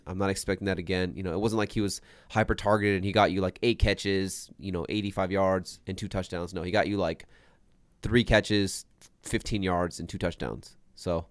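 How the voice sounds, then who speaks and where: A young man talks fantasy football in a loose, emphatic podcast chat, hammering the same point over and over. His voice sits low and smooth despite a stuffy, congested nose, recorded close and dry. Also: no echo. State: congested from a sinus infection.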